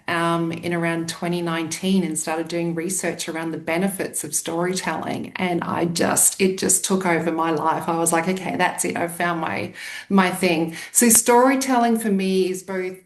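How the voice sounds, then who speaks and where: A woman with an Australian accent, a storytelling coach explaining her work in an upbeat, earnest way over a video call. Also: lowish voice.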